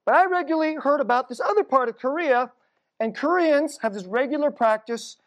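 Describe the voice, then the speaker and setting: A middle-aged Korean American woman telling stories in a sermon, casual and conversational, dropping to a hushed low voice at tense moments. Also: fairly quick. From United States.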